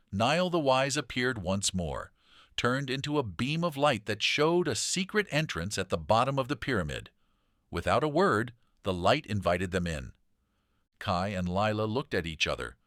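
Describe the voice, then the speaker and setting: A man reading a children's story aloud in an expressive, dramatic storytelling manner. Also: lowish voice, no room sound, studio quality. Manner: dramatic, animated delivery.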